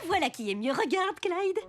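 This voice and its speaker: A woman's voice: very high-pitched, full character voice. An actress playing a greedy, scheming female baker villain in a children's cartoon, theatrical and gloating.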